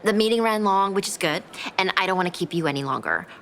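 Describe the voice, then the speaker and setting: An actress playing a self-assured, guarded culture curator in a naturalistic audio drama. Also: female voice, mid-range voice, lively intonation, quick pace, a bit bright, slight background noise. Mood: brisk, cordial.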